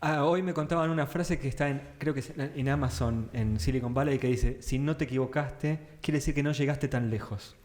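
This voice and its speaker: A man's voice: medium pitch, a little fast, few pauses, a little mellow. Male interviewer, mid-range voice, casual conversational delivery.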